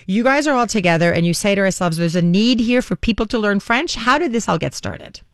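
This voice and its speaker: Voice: mid-range voice, expressive, a little fast, no room sound. A radio host whose first language is Greek, chatting energetically on live radio from a studio and steering an interview.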